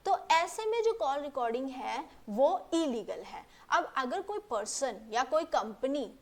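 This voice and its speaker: A woman's voice: sits high, big pitch swings, a little slow. A woman presenting an informal explainer lesson, rephrasing points as she goes and mixing English legal terms into her speech.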